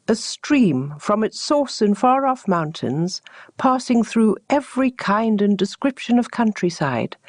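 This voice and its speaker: A woman narrating a traditional teaching tale as a storyteller, with measured, deliberate phrasing. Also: mid-range voice, a little mellow, no room sound, studio quality.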